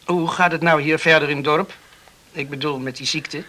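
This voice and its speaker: A young island man in a radio play, rescued from a storm. His voice sits fairly high and rings very bright. He speaks earnestly, with halting, moved phrasing. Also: fairly even intonation. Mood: grave. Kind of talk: radio drama acting.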